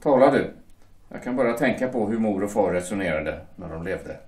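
A man's voice: fairly low pitch, animated, a little mellow, lightly acted. A man playing an earnest young shipyard worker in a radio play, deferential and prone to stammering. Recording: echoey room, distant mic.

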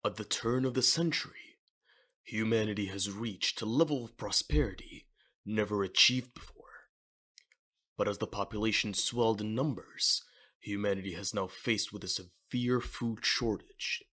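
A man with a husky voice reading scripted dramatic narration for a manga audio drama. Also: fairly low pitch, normal speed, pushed and bright.

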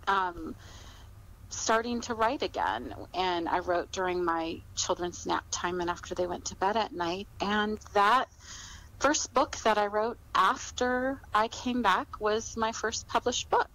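Middle-aged female author as interview guest: conversational, reflective and encouraging, medium pitch. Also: bright, forceful tone, slight background noise, poor audio.

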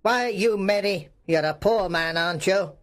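A man's voice: sits high, normal speed, a bit bright, pitched above the performer's own voice. A mean old miser from a storybook, acted out by a man. The voice is hard and raised, with curt, scornful delivery. He sounds cold, bitter and dismissive, snapping out his words. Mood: scornful, irritated. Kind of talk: storybook audiobook character voice.

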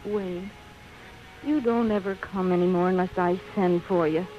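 An actress playing a spoiled, whiny, uneducated social-climbing young wife in 1930s radio drama, wheedling and slangy. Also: female voice, on the low side, fairly even intonation, measured pace, slight background noise, lightly acted. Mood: needy, coy. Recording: dull old radio recording, faint music.

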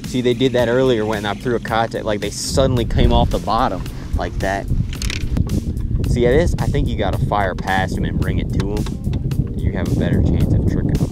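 A man chatting casually and excitably in slang while fishing outdoors on a boat. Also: on the low side, brisk, loud music behind the voice. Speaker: angler vlogger. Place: outdoors on a bass boat on an open lake.

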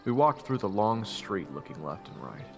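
A man's voice: fairly low pitch, sing-song, normal speed, darkish tone, faint music behind. A man playing a young fantasy hero who narrates his adventure reflectively in the first person.

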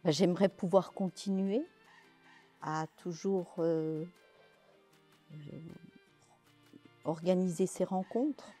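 A woman in her sixties answering interview questions, slowly and hesitantly, with many fillers and restarts, seated in a large bare indoor hall. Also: lowish voice, dark tone, faint music behind. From France, Pays de Gex. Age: 60s. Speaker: interviewee, literary event organizer.

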